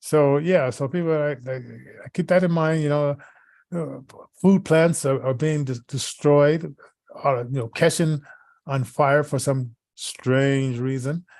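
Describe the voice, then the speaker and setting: A man hosting a casual radio talk show, speaking haltingly and often repeating words and phrases as he goes. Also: fairly high pitch, steady tone, a little mellow, no echo.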